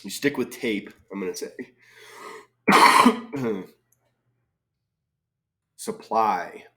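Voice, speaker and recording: A man with a lowish voice, a little hoarse from being sick, talks slowly and unhurriedly. He reads clues aloud and muses over his guesses in a relaxed, thinking-aloud way. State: slightly hoarse from a cold. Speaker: crossword solving video host. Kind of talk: casual live solve, thinking aloud.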